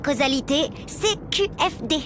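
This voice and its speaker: A woman voicing a chatty, ambitious sixteen-year-old schoolgirl in an animated series, expressive and self-assured. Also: sits high, moderate pace, noisy background. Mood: confident, earnest. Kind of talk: animated series dialogue and voice-over.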